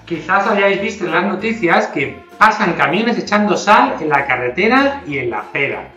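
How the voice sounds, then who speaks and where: Animated middle-aged man, Spain Spanish accent. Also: high-pitched, moderate pace. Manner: instructional, addressing children. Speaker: teacher. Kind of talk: presenting a children's science experiment. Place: home kitchen, indoors. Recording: roomy, distant, dull kitchen audio. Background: background music.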